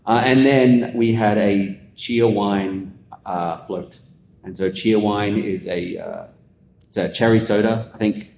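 Australian man, low voice, conversational guest who rambles with fillers; echoey room, faint rumble. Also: slow. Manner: relaxed, rambling explainer with many fillers. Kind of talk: casual conversational interview. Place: conference venue.

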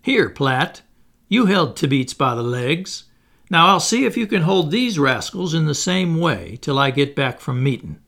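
Man putting on a gravelly, mocking old planter. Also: a little high, very animated, a little slow, fairly bright tone, full character voice. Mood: commanding. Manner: mocking, bullying and preachy, with drawled words. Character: sarcastic, blustering old male plantation owner.

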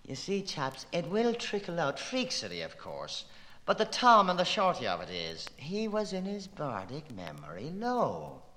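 Male literary reader, declamatory and playful, highly animated pitch, long echoing reverb. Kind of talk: theatrical literary reading of an experimental novel.

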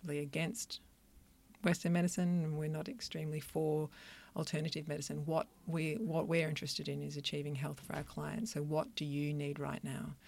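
A woman who practises Chinese medicine, answering interview questions in an earnest, thoughtful, soft-spoken way. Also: low-pitched, vocal fry. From Australia, country Victoria.

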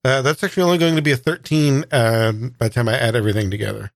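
A man's voice: medium pitch, lively intonation, rapid-fire, vocal fry, dry recording, studio quality. A man taking part as a player in a tabletop game, casually explaining what his character does.

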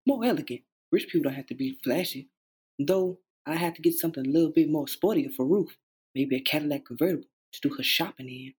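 A working-class father, played by a man shares big dreams with his young son in a stage monologue. His voice is husky and mellow, the delivery earnest, folksy and hopeful. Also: fairly high pitch, fast. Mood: dreamy, proud. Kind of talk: dramatic stage monologue reading.

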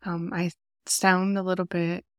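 A woman's voice: lowish voice, flat delivery, clean tone, no echo. A young woman hosting a faith podcast, sounding nasal and congested from a cold, talking earnestly into a close podcast mic. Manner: soft, unhurried, earnest. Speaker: podcast host. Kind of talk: faith podcast talk and prayer.

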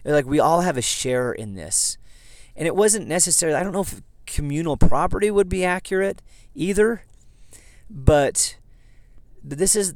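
A man from southeast Idaho with a slightly hoarse, froggy voice, talking as a podcast host in a rambling, self-correcting way. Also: a little high, no room sound. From southeast Idaho, USA. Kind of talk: casual history podcast monologue. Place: inside a parked vehicle.

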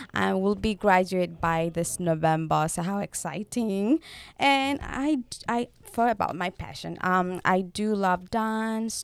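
A woman's voice: lowish voice, vocal fry, smooth, no room sound. A young woman from Nepal co-hosting a casual podcast, chatty and full of fillers and restarts. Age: young adult. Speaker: podcast co-host. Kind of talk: casual chat.

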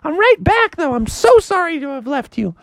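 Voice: sing-song, moderate pace, no room sound. A storyteller voicing a worried, apologetic mother in a made-up story. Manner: high, fluttery and apologetic. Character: worried, apologetic mom of a boy.

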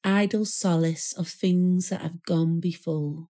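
A woman reciting a poem in a measured, solemn manner with deliberate pauses. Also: on the low side, fairly even intonation, mellow. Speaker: poetry reader. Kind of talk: poetry recital.

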